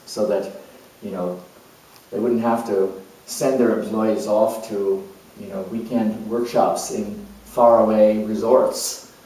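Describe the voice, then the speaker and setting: A man's voice: lowish voice, mellow, lightly acted. A man playing an eccentric, scatterbrained, idealistic nonconformist in a rambling, emphatic theatrical monologue. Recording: very echoey room, far from mic.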